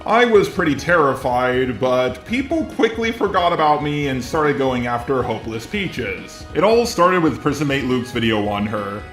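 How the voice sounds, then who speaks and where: A man's voice: medium pitch, animated, normal speed, hardly any pauses, a bit bright, roomy sound. A synthetic text-to-speech man's voice narrating a scripted commentary video. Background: background music.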